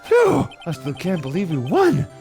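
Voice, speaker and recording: A man's voice: high voice, wildly swooping pitch, normal speed, darkish tone, faint music behind, exaggerated voice. A man voicing an earnest rookie lawyer who is nervous, stammering and easily exasperated, in a dramatic game reading. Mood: relieved.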